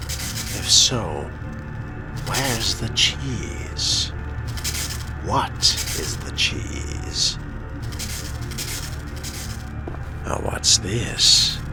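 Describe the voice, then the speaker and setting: A man's voice: on the low side, heavily acted. A man playing a sardonic, refined vampire fledgling, musing aloud in arch, wordy, theatrical phrases for a video game role-play. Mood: wry, curious.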